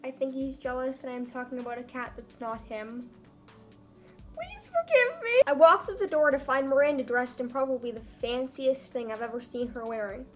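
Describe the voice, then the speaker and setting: A young woman with a high voice reads a story aloud for comic effect. Her delivery is mock-dramatic and self-mocking, dropping into casual asides, with faint music underneath. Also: moderate pace. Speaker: vlogger reading her own old story aloud.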